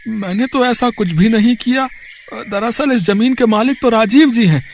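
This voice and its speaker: A man's voice: very high-pitched, moderate pace, slight background noise. A man playing a humble, earnest poor village husband in a cartoon story, speaking in a hesitant, respectful, pleading way. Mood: anxious, pleading. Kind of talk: acted cartoon story dialogue.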